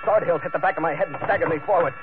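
A man's voice: a little high, very fast, natural voice. Guy acting a gritty adventurer captain telling his story, clipped lines, old radio show, thin band-limited audio, music behind him. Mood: tense, defiant. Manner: terse, gritty, clipped sentences. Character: tough, hardboiled adventurer ship captain narrating his exploits. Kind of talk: old-time radio drama first-person narration. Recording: tinny vintage radio broadcast, music underneath.

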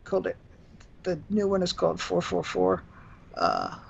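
A man who is a musician guest on a radio show, answering haltingly with many ums. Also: high voice, wildly swooping pitch, creaky, husky. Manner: hesitant, halting, full of ums. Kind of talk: casual radio interview answers. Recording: dull lo-fi line with faint noise.

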